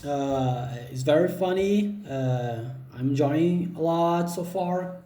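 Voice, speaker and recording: Brazilian man, halting, roomy laptop sound. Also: a little high, warm tone. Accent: Brazilian Portuguese. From northeast Brazil. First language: Portuguese. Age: 20s. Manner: unhurried, hesitant with frequent fillers and restarts. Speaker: job seeker. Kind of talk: self-introduction video.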